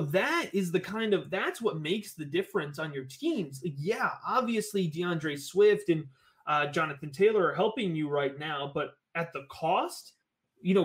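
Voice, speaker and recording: A talkative man from Connecticut chats as a podcast guest, his voice sitting a little high. He rambles eagerly, circling back and restating his points. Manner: enthusiastic, rambling, repeats phrases. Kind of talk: casual podcast chat about fantasy football.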